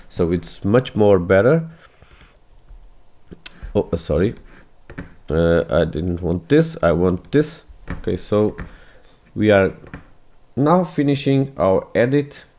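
A man whose first language is Portuguese talks through a photo edit at an unhurried pace. His voice sits fairly low and sounds mellow and dark. He often stops and restarts his phrases, recorded at a desk as voice-over for a screen capture. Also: gravelly. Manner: hesitant, many restarts, non-native phrasing. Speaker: photography tutor. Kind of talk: screen-recorded software tutorial.

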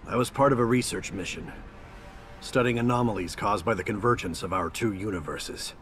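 An actor playing an earnest, disciplined martial artist in a game, respectful and formal in manner. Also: male voice, lowish voice, brisk, fairly bright tone, a little hiss or hum, lightly acted. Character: earnest, disciplined martial arts fighter, adult male. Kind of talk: dramatic game cutscene acting.

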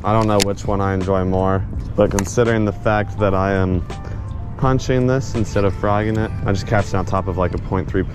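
A young man giving a casual, excited running commentary while fishing from a kayak, laughing often and calling his viewers dude. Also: on the low side, brisk, over music. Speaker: fishing vlogger. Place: outdoors on a kayak on a lake.

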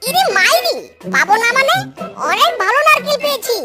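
A performer voicing a mischievous, foolishly self-satisfied male fox in a children's cartoon, with a squeaky, cheeky, boastful delivery. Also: big pitch swings, fairly quick, loud music behind the voice. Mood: cocky, eager. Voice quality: very high-pitched, squeaky. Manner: cheeky, boastful, repeats a pet exclamation.

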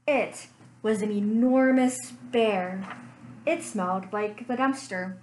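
A young woman reading a picture book aloud for children, slow and expressive with playful sound effects, recorded on a webcam in a small room at home. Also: medium pitch, a little hiss or hum, low-quality recording. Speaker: children's librarian. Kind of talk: storytime read-aloud.

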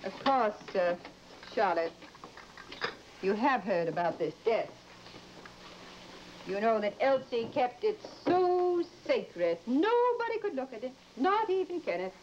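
A woman's voice: a little high, big pitch swings, takes it slowly, pauses often, a little mellow. Swooping, fussing mother. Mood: polite. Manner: theatrical emphasis, addresses others as dear. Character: concerned, gossipy mother of a married daughter, fussing affectionately. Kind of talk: old-time radio horror drama acting. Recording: dull old radio recording with hiss.

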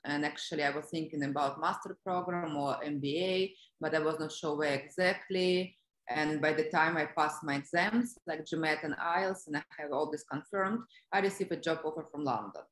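A woman's voice: deep voice, normal speed, a bit bright. A woman from Russia with a Russian accent, conversationally telling her story as an interview guest on a video call. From Russia (Siberia). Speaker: interview guest, business development professional. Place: indoor room on a video call. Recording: roomy, echoey video call.

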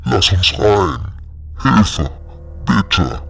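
A man voicing demonic, tormented souls of the dead in a horror audio story, pleading in drawn-out, pained, gravelly shouts. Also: deep voice, very animated, full character voice. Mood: desperate pleading. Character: demonic, tormented voices of the dead begging for entry.

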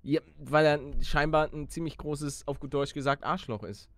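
A young man giving casual, excitable gaming commentary and joking as he chats. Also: a little high, moderate pace, no room sound. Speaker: let's player.

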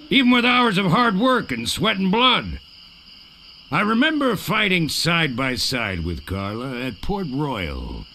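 A man voicing a gruff, crusty sword trainer in a cartoonish adventure game, barking, bragging and contemptuous. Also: on the high side, pushed and bright, slight background noise, heavily acted. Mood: scornful. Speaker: sword fighting coach.

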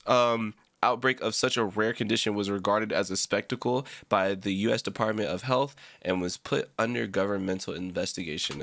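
A young American man doing a casual reaction, reading text aloud haltingly with slangy asides. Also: on the low side, vocal fry, a bit bright. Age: young adult. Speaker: reaction video creator. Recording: prominent background guitar music. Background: prominent instrumental music with guitar.

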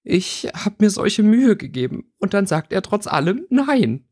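A man's voice: sits high, very animated, measured pace, a little mellow, slightly rough, pitched above the performer's own voice, heavily acted. A man voicing an upset, tearful and indignant young schoolgirl in a plaintive storybook reading. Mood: hurt.

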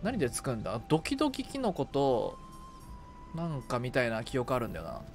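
A man's voice: medium pitch, wildly swooping pitch, fairly quick, faint music behind. A man chatting casually while gaming, muttering short item checks to himself and exclaiming in a casual way. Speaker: game streamer, co-op partner.

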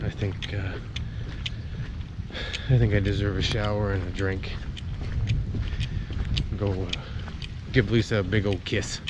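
A man's voice: on the low side, level tone, a little slow, long pauses. A man, around his forties, chatting casually and thinking aloud as he vlogs on a handheld camera. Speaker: sailing vlogger. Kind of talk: casual vlog narration while working. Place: aboard a boat and outdoors at a marina. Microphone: handheld camera at arm's length. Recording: noisy handheld action-camera audio with ambient hum.

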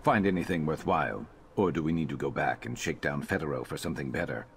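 Very deep-voiced sardonic rogue. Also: male voice, fast talker, creaky, slight background noise. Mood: dry, businesslike. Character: gruff, sardonic male rogue adventurer in dark armour. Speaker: companion character in a fantasy video game. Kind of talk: scripted game dialogue.

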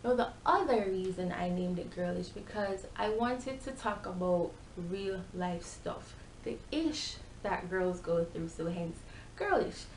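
A woman's voice: lowish voice, expressive, a little slow. A young adult woman with a Jamaican accent, chatting casually and animatedly to camera as a vlogger. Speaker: YouTube vlogger. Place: small bare-walled room indoors. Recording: echoey room with background hiss.

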